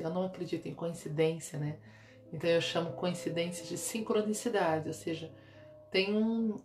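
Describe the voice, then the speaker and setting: A woman's voice: lowish voice, darkish tone. A middle-aged Brazilian woman with a slightly nasal voice, talking casually and reflectively to her followers as a meditation guide. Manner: conversational, often checking in with "né". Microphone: phone or webcam at arm's length. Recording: echoey small room with music behind.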